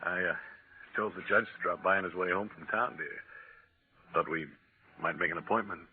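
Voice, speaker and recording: A man's voice: low voice, normal speed, lightly acted. A male actor playing a confident, affectionate scientist suitor in a 1940s radio drama, teasing and assured. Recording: muffled vintage radio broadcast.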